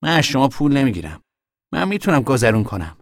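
An actor playing a young man in hiding, talking to his mother in an earnest, quietly stubborn way. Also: mid-range voice, big pitch swings, no echo, clean studio sound. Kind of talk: audio drama acting.

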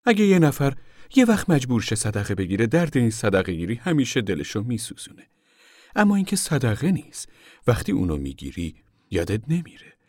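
A man's voice: medium pitch, wildly swooping pitch, a little mellow, no room sound, studio quality. A man voicing a proud, outspoken poor woman in a story, insistent, emotional and bitter. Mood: earnest.

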